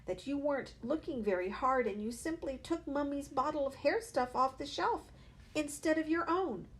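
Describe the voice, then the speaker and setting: A woman voicing a calm, quietly sly little girl in a storybook reading. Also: high voice, normal speed, pitched above the performer's own voice. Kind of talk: read-aloud storybook acting.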